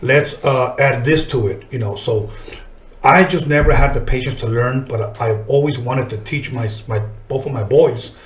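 A man's voice: mid-range voice, moderate pace. A middle-aged man hosting a podcast, talking casually with slang and profanity in an encouraging way. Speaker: podcast host. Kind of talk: casual interview chat. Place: small podcast studio, indoors. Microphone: desk microphone. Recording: roomy studio echo.

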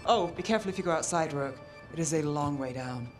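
A woman voicing a wounded, cautious woman mage who speaks in a measured, skeptical way, in game dialogue. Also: sits low, expressive. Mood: calm. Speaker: party companion. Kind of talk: video game voice acting. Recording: game dialogue with clear music, long reverb.

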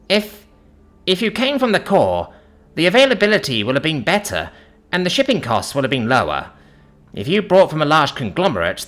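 A man's voice: high voice, fairly bright tone, pitched above the performer's own voice, exaggerated voice. A man voicing a small, timid alien shopkeeper woman in an audiobook story, pleading and flustered.

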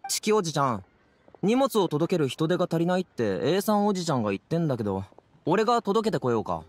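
Lively, cheerful teenage boy. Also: on the high side, fast talker. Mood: eager. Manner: casual, boyish, eager. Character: cheerful, helpful, easygoing teenage boy courier. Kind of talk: anime game voice acting.

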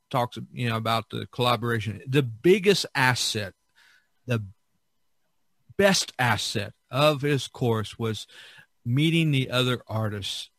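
A man hosts a casual podcast conversation in a bright, forward voice. He moves haltingly, doubling back on his phrases, and the sound is dry and close, at a middling pitch. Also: no echo. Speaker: podcast host. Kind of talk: casual chat.

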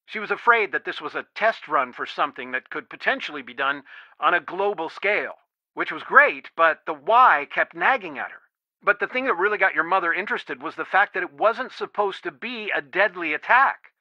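A man's voice: fairly high pitch, sharp tone, dry recording, full character voice. An actor playing a worried, protective middle-aged father in a video game, earnest and pleading, heard through a telephone filter. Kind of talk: naturalistic video game dialogue, one side of a phone call. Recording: dry, band-limited phone-like sound.

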